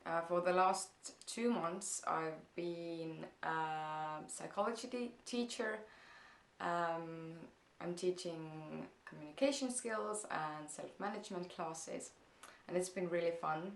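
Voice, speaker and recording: A young woman with an Estonian accent talks slowly and thoughtfully in English, pausing often with soft "um"s. Her voice sits a little low, and the room around her adds a noticeable echo. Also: gravelly. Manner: hesitant, many "um"s, slow and thoughtful. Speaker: vlogger. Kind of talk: casual Q&A vlog. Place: parents' house, indoors.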